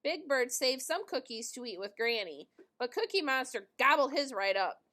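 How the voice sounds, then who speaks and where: High, animated woman reading a storybook. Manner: expressive, deliberate storytelling. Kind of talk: animated children's storybook read-aloud.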